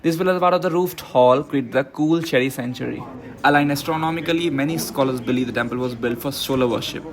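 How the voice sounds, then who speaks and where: A man's voice: mid-range voice, fast talker. Man reading a scripted voice-over. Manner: even, read-out delivery. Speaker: voice-over narrator. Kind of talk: scripted documentary narration.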